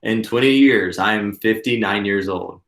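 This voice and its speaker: A man's voice: fairly low pitch, normal speed, a bit bright. A man in his thirties, a business coach, leading a workshop in an earnest, encouraging, conversational way. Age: 30s. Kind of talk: instructional workshop talk. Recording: roomy echo.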